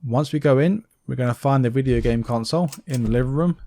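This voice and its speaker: A man's voice: mid-range voice, quick pace, dark tone, no room sound. A man giving casual gameplay commentary, explaining things step by step in an informal way. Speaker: game walkthrough commentator.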